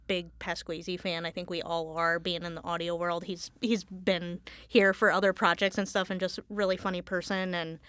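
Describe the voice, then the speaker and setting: A woman speaking as a guest in a casual interview, informal and halting as she thinks aloud. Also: fairly low pitch, little pitch movement, a bit bright, smooth, no room sound. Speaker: Foley artist, interview guest.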